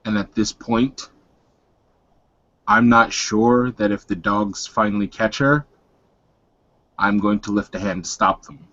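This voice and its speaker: A man roleplaying a blunt, solemn werewolf mentor in a tabletop game, speaking frankly and with measured words. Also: lowish voice, fairly even intonation, fairly bright tone, no room sound. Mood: grave, resigned. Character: grave, blunt male werewolf mentor, clove smoker. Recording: dull, dry lo-fi voice recording.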